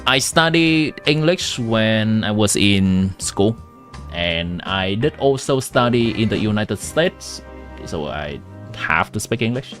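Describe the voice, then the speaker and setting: A man's voice: on the low side, big pitch swings, takes it slowly, a bit bright. A young man from Vietnam, a native Vietnamese speaker with a Vietnamese accent, chatting casually on a live game stream and answering viewers while giving game advice. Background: game music.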